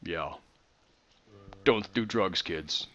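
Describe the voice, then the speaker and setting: A man in his thirties, excitable and rambling, chatting casually while playing a building game. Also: sits low, very slow, dull audio, slight background noise. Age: 30s. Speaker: Minecraft builder and streamer. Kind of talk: casual commentary while gaming.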